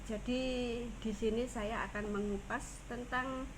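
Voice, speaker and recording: A woman with a Javanese Indonesian accent gives a casual language lesson. She speaks unhurriedly in a fairly high voice and repeats each phrase twice. A noisy background hums behind her. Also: steady tone, measured pace. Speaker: caregiver teaching language. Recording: noisy ambience.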